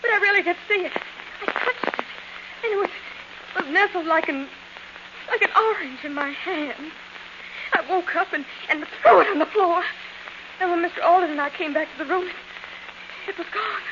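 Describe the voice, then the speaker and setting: A woman's voice: extremely high pitch, pushed and bright. An actress playing a fragile young woman who fears for her sanity, breathless and pleading, in 1940s radio thriller style. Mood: anxious, despairing. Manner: breathless, halting, pleading, theatrical. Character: frightened, fragile young niece doubting her own sanity. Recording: dull, noisy old 1940s radio recording.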